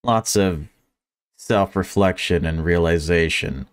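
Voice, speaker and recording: A man's voice: low-pitched, slow pace. A man reading visual novel story text aloud and adding casual commentary as a gaming video creator.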